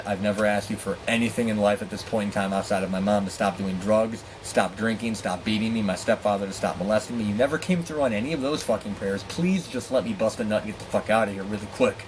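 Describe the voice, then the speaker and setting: A man telling a personal story in a candid, profane, animated way, acting out dialogue with exasperated asides. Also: fairly low pitch, quick pace, hardly any pauses, noisy background. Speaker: storyteller and host.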